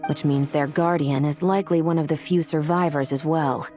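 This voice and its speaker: A woman's voice: low voice, fairly even intonation, moderate pace, over music, close to an everyday voice. A woman playing a serious, dutiful law officer in a video game cutscene, with a sober, businesslike manner. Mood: stern, concerned.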